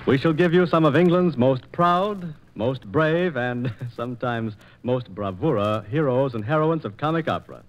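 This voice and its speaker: A man's voice: medium pitch, normal speed, few pauses, a little hiss or hum. A man announcing a scripted 1940s radio programme in a grandly formal, theatrical manner. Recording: muffled 1940s radio transcription disc.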